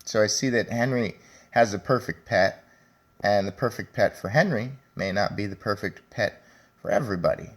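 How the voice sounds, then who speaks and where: A man reading a children's story aloud as a teacher, speaking deliberately and addressing young listeners directly. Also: lowish voice. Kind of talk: children's read-aloud with commentary.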